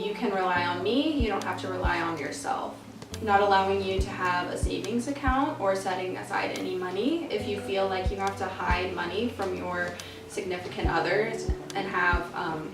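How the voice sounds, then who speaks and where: A twenty-one-year-old woman, a university student, calmly explaining signs of financial abuse with frequent fillers. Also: mid-range voice, reverberant, far from the mic. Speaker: student speaker. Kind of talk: earnest awareness talk.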